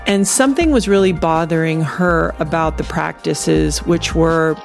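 A man's voice: on the high side, measured pace, vocal fry. A man giving a spoken introduction to a podcast interview, with music behind him.

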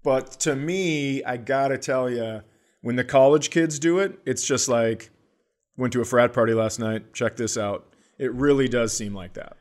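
A man's voice: mid-range voice, a little slow. A man co-hosting a podcast, riffing casually in a blunt, sarcastic, swearing style. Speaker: podcast co-host. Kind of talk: casual comic ranting.

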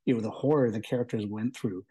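A man giving a casual guest review, speaking enthusiastically but in a halting, hesitant way. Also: lowish voice, mellow, breathy edge. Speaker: guest reviewer of audio drama and podcasts.

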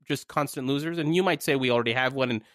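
A man co-hosting a political panel chat, talking in an animated way and pressing his co-hosts with repeated questions. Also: mid-range voice, fast, no room sound, studio quality. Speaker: co-host.

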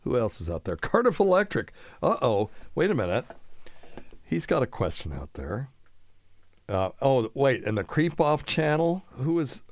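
A man's voice: medium pitch, very animated, normal speed. A man hosting a casual live show, answering listener questions in a folksy, rambling way and explaining medical terms plainly.

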